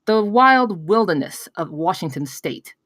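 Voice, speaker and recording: Woman doing brash excitable pitchman guy at a table read, lowish voice, swings around a lot, dry sound. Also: measured pace, no echo. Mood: excited storytelling. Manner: emphatic, profane, conversational storytelling. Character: brash, excitable male pitchman spinning a campfire tale.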